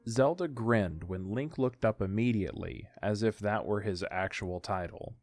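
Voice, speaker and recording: A man's voice: on the low side, moderate pace, a little mellow, soft background music. A man narrating an audiodrama as scripted story narration.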